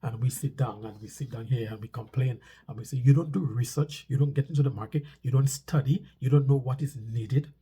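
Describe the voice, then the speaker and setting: A man's voice: medium pitch, brisk, hardly any pauses, mellow, slightly rough. A man with a stuffy nose talks casually to viewers as a vlog host, explaining things conversationally and repeating phrases for emphasis.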